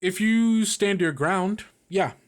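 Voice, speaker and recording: A man's voice: high-pitched, takes it slowly. A man acting as game master, mixing improvised narration with informal table talk and talking the rules through as he goes.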